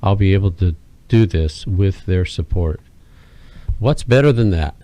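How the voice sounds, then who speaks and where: Low-voiced male co-host, mellow and steady; dry studio read. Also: unhurried, no room sound. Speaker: radio co-host. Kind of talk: reading a listener letter, radio talk.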